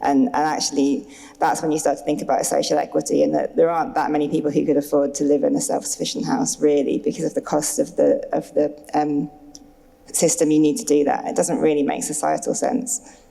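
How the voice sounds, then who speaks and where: A woman panelist setting out a counterargument in a thoughtful, measured way. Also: low-pitched, flat delivery, vocal fry, mellow. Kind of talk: panel discussion. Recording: long hall reverb.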